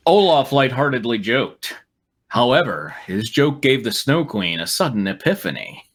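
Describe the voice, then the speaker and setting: A man's voice: mid-range voice, big pitch swings, normal speed, fairly bright tone, slightly rough. A man reading fan fiction aloud as host, narrating dramatically with wry, amused asides. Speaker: reader and host.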